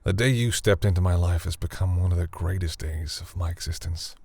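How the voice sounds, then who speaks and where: Ancient vampire boyfriend, male actor, low husky register, tender and bashful, halting formal delivery for intimate audio roleplay. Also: darkish tone, dry recording, exaggerated voice. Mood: loving, grateful. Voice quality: deep, gravelly, husky. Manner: hesitant, halting, earnest, formal wording.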